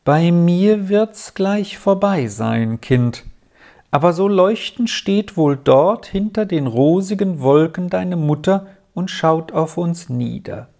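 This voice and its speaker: A narrator doing a calm, gentle old major. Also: mid-range voice, slow, pitched above the performer's own voice. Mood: tender. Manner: calm, soothing, resigned. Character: gentle ailing father, a calm army major.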